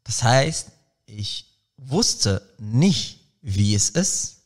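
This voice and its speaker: A man's voice: mid-range voice, big pitch swings, drawn-out words. A man, hosting a solo podcast in an earnest, reflective way, often searching for words. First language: Arabic. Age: 30s. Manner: earnest, searching for words, many fillers and restarts. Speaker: podcast host.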